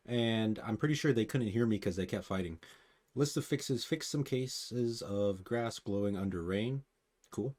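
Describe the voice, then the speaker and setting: Low-pitched man, casual gaming commentary. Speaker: gaming guide host. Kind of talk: casual patch notes review with commentary.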